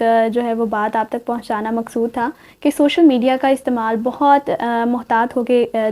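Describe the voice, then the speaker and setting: Polite woman host, higher-pitched voice. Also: mellow. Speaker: talk show host. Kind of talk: hosting a literary discussion.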